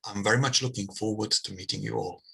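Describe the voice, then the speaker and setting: A man, a drama professor, introduces himself briskly and formally. His low voice is husky with a bright, forceful edge. He presents his curatorial role. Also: poor audio. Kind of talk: prepared self-introduction.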